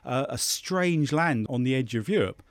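A British man, a geography professor, explaining informally in an interview, piecing his phrases together with pauses. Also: medium pitch, lively intonation, no room sound, studio quality. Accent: British English.

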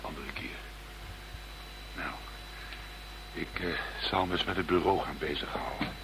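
An actor playing a brisk, gruff police detective in a radio play, speaking in a clipped, easily exasperated way. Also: male voice, rumbling low, fairly even intonation. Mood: matter-of-fact. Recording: noisy, humming radio play recording.

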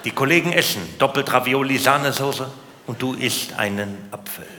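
A man's voice: medium pitch, normal speed. A man doing stand-up comedy storytelling, repeating words and acting out little scenes. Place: large live venue. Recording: echoey hall, live stage, noisy.

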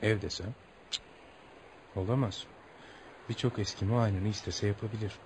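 An actor playing a polite, curious American traveller in a radio play, theatrical and musing, with amused asides to himself. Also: male voice, on the low side, fairly even intonation, normal speed, background noise, natural voice.

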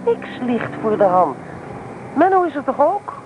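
An actress playing a headstrong, teasing young student in a radio play, blunt and self-assured in naturalistic dialogue. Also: female voice, sits high, very animated, a little slow, phone-quality sound. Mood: insistent. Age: young adult. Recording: noisy old radio play recording.

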